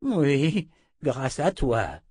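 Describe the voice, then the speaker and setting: A man voicing an old wizard in an audiobook, croaking and emphatic, urgent and proud. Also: mid-range voice, very animated, drawn-out words, a little mellow, dry recording, studio quality, pitched above the performer's own voice, full character voice. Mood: relieved, proud. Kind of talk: audiobook character voice.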